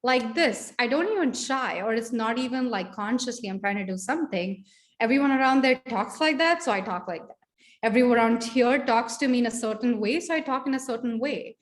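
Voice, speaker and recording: Woman, social work researcher on a panel, reflective, chatty delivery, higher-pitched voice. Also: lively intonation, a little fast. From India. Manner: reflective, chatty, full of "like" and "you know". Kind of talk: panel discussion answer.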